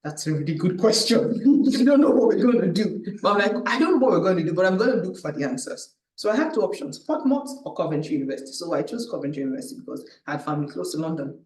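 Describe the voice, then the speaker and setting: A woman, from Nigeria, giving an animated personal talk at a public event. She tells stories by acting out her own thoughts and often tags her sentences with "right?". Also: on the low side, fast, few pauses. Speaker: panel speaker. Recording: echoey room, distant mic.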